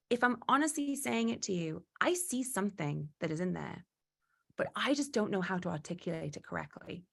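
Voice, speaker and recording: Lively young woman from Newcastle, workshop host on a video call. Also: mid-range voice, vocal fry. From Newcastle, England. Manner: lively, acts out quoted voices. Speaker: workshop facilitator. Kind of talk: online workshop talk.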